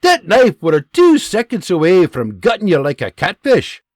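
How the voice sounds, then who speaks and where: A man voicing a gruff Scottish army sergeant who barks orders and scolds his men in a Scottish accent. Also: high-pitched, huge pitch swings, normal speed, darkish tone, no room sound, studio quality, voice pushed up. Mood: barking. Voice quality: barking, scolding.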